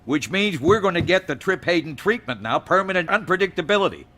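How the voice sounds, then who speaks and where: Male base director, audio drama, dry, measured, resigned, formal wording, very varied pitch. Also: sing-song, sharp tone, full character voice. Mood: dry, weary. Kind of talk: science fiction audio drama acting.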